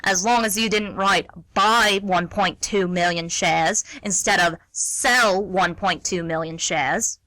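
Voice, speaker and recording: A woman's voice: fairly low pitch, takes it slowly, hardly any pauses, pushed and bright, dry recording, poor audio. A woman acting a sardonic, meddling older woman in a radio play, with a dry, blunt, wry delivery. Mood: wry, teasing. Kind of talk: stage-play dialogue read as radio theatre.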